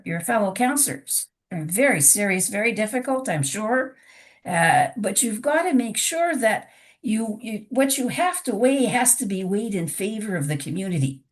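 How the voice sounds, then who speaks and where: A woman resident addressing a town council, her voice hoarse from being unwell, speaking earnestly with frequent restarts and halting pauses. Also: fairly high pitch. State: hoarse from illness. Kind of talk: public comment at a council meeting. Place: council meeting chamber.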